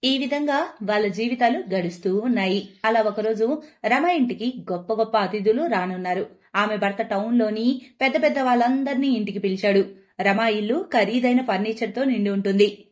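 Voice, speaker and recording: A woman's voice: fairly high pitch, moderate pace. A woman narrating a scripted moral story.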